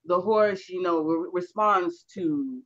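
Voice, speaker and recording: Halting man on a dull dry call. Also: high-pitched, fairly bright tone, no echo. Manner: halting, repeats phrases, many fillers. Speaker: book club member. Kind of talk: casual book discussion.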